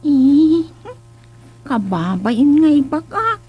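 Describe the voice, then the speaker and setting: A woman's voice: high voice, big pitch swings, extremely slow, lo-fi sound, slight background noise, full character voice. A woman with an Ilocano accent acting a young, naive village sweetheart, plaintive and bashful, in a comedic radio drama. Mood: shocked, embarrassed.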